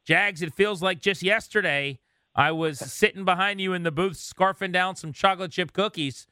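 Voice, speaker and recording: Bright, clear male sports co-host. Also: sits high, normal speed, no room sound, clean studio sound. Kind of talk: conversational baseball commentary.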